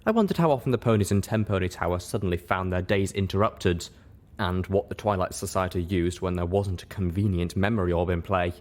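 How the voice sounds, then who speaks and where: Male audiobook-style narrator, low pitch, scripted story reading. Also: brisk. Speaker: reader, narrator.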